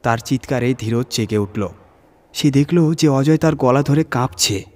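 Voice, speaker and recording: A man's voice: medium pitch, unhurried, a little mellow, a little hiss or hum. A man narrating a scripted horror story as its reader.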